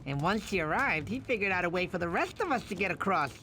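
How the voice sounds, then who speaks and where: An actor voicing a goofy, sentimental sloth who tells stories in a fussy, dramatic way for an animated game. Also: medium pitch, fast, soft background music. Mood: eager storytelling. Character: goofy sentimental sloth storyteller, doting self-styled mama. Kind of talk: animated game voice acting, bedtime storytelling.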